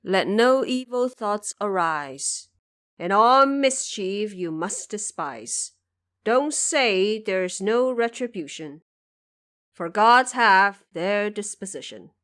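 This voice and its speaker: A woman voicing a noble, reflective male emperor in an audio drama, speaking in a stately, formal, measured way. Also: mid-range voice, animated, a bit bright. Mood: reverent, moralizing.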